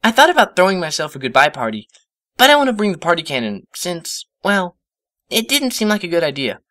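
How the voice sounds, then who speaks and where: Man putting on an excitable, squeaky female cartoon pony. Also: a little fast, dry recording, studio quality, heavily acted. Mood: frantic, tearful. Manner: excitable, dramatic outbursts. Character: hyper, emotional young female cartoon pony.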